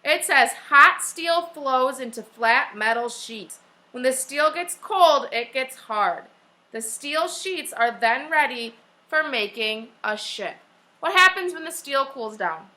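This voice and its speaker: A woman with a high, bright voice leads an elementary lesson. She speaks slowly and deliberately, repeating key phrases and questions as an instructor does. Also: expressive. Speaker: elementary school teacher. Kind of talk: classroom read-aloud lesson. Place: classroom.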